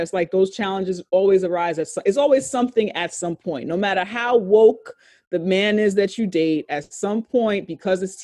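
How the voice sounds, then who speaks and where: A woman with a deep voice, co-hosting a podcast in a candid casual discussion, emphatic and profane, stretching out key phrases. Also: warm tone, no echo, clean studio sound. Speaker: podcast co-host.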